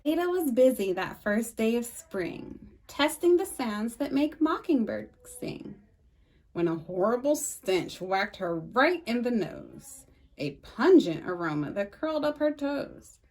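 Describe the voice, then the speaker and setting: A woman reading a rhyming picture book aloud for storytime, with a lively sing-song delivery and slow, emphatic pauses. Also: a little high. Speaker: storytime reader.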